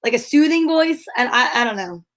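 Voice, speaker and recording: A woman with a very high voice works as a co-host. Her talk is casual and conversational, as in an informal live chat. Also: lively intonation, fairly quick, a bit bright.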